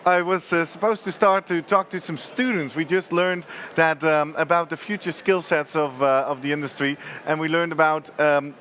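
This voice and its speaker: Male live host, slightly high voice, loose and joking, laughs a lot. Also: a bit bright, a little hiss or hum. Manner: chatty, laughs often, talks in restarts. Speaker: host of a live conference studio show. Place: conference TV studio.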